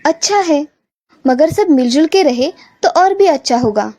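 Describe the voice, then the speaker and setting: A woman's voice: sits high, expressive, measured pace, mellow. A woman playing an assertive, outspoken young news reporter and daughter-in-law in a cartoon story. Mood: agreeable. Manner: firm, outspoken.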